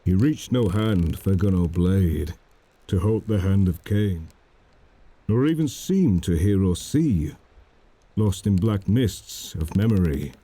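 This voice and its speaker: A man narrating a dramatic poem in a solemn, measured manner. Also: sits low, expressive, warm tone, husky. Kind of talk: dramatic poetry recitation.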